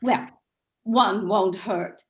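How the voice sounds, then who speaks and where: A woman's voice: medium pitch, very slow. A woman playing a gossipy, anxious suburban housewife in a staged play reading. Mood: coy, uneasy. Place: video call.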